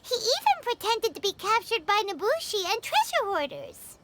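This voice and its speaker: Childlike floating fairy for a game; shrill, eager, swooping delivery, tiny and extremely high. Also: female voice, very animated, normal speed, heavy background noise. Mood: matter-of-fact. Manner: speaks of self in third person, exclaiming. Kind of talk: video game voice acting. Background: game music.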